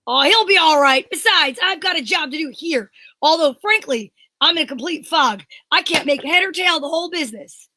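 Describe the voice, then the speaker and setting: A woman's voice: high-pitched, expressive, normal speed, pushed and bright, slight background noise. A woman playing a flustered, puzzled male police inspector in an old-time radio detective drama, chatty and exclamatory.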